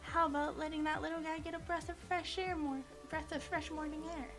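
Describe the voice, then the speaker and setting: A woman acts out a brash teenage class leader in a visual novel, a tomboy who loves to tease. The delivery is cocky and pitched up, with music playing behind it. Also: level tone, a little fast, natural voice. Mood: goading. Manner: cocky, teasing.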